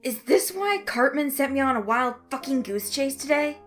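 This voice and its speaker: A woman voicing an angry, indignant male boss in a story, spitting out words loudly. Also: high-pitched, lively intonation, normal speed, faint music behind, pitched above the performer's own voice. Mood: stunned anger.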